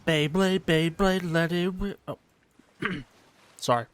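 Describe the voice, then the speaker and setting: A man's voice: on the high side, takes it slowly, clear, resonant tone. A man giving casual, slangy let's play commentary, muttering his reactions to himself as he goes. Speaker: gamer commentating his own playthrough.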